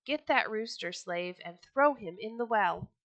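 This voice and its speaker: A woman's voice: mid-range voice, animated, measured pace, a bit bright, no echo. A woman voicing a big, fat, bad-tempered male sultan who barks bossy orders. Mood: commanding.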